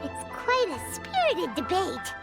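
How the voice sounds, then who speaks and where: Casting: tiny childlike fairy companion for a game, extremely high, hugely animated, eager and exclamatory. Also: female voice, takes it slowly, gravelly, exaggerated voice. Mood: curious. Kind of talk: video game character acting. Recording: music behind the voice.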